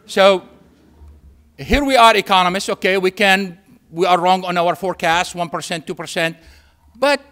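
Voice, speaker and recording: A man from Syria with a Syrian Arabic accent and Arabic as his first language, giving a public lecture in an emphatic way with repetition and pauses for effect. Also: high voice, fairly even intonation, a bit bright. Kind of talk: public lecture with anecdotes and humour.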